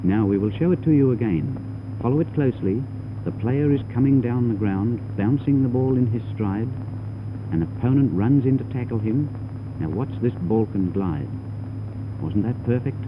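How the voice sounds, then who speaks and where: A man's voice: mid-range voice, lively intonation, moderate pace, phone-quality sound. A man giving formal, instructive scripted narration for a 1930s training film, on a noisy old soundtrack. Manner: formal, didactic.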